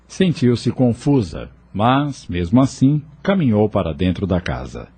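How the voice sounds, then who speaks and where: A man's voice: fairly low pitch, mellow, husky. A man narrating a scripted audio novel as a storyteller.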